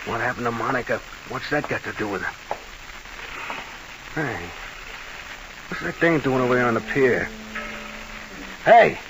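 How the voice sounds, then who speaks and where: A man's voice: mid-range voice, measured pace, pauses often, close to an everyday voice. A man acting a haunted nightclub manager from an old radio mystery. He is defensive and nervy, with clipped streetwise phrasing. The broadcast is thick with hiss and noise. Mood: agitated, urgent. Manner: jumpy, clipped tough-guy slang.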